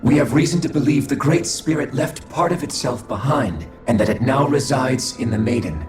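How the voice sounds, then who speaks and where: An echoing male alien played by an actor speaks with clinical detachment and formal wording over clear game music. He is an emotionless observer of mid-pitched voice, measured and cold. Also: lively intonation, lightly acted. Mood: detached, explanatory. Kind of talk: scripted video game cutscene acting.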